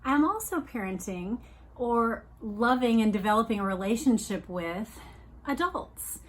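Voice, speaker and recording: Middle-aged woman, slightly high voice, reflective podcast delivery, deliberate emphatic phrasing. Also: expressive. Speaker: podcast host reading a book aloud and commenting. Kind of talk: reflective solo podcast talk mixed with read-aloud passages.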